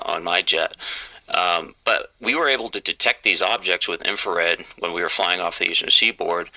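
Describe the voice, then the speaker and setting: A man, a former military pilot, giving measured, matter-of-fact testimony full of technical detail. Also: sits low, sharp tone, rough recording. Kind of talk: formal testimony and interview answers.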